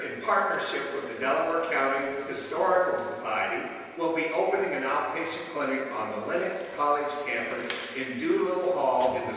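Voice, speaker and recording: A man's voice: fairly high pitch, pushed and bright. A man as an announcer, reading a scripted radio-style sponsor advert. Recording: echoey hall from far off, faint noise.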